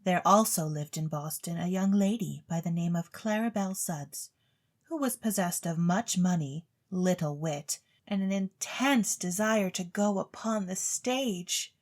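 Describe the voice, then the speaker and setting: A woman's voice: fairly low pitch, measured pace, a little mellow. A woman storyteller reading a scripted tale in a theatrical storybook narration style.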